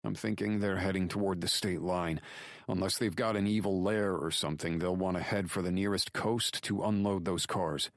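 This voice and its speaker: A man voicing a firm, rough-talking lawman buddy reporting urgently over the phone in an audiobook. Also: low voice, fairly even intonation, normal speed, dry recording, clean studio sound, voice pushed up. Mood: brisk, certain. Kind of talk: audiobook character dialogue.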